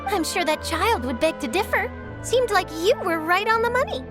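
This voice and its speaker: An eager young reporter chases her next scoop in a high, bubbly, dramatic voice. Her pitch swings widely as she works herself up. Game music plays clearly behind her. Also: animated, normal speed. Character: eager young woman journalist chasing scoops.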